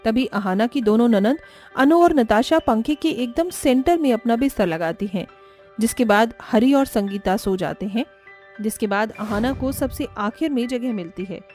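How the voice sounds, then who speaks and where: Female storyteller for scripted family tales, high voice, soft music bed.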